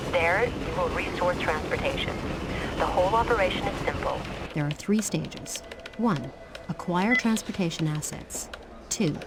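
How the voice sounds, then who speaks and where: A woman's voice: on the low side, background noise. A woman playing a businesslike military handler in a game, giving clipped, formal, authoritative orders. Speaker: mission controller. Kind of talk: scripted military briefing.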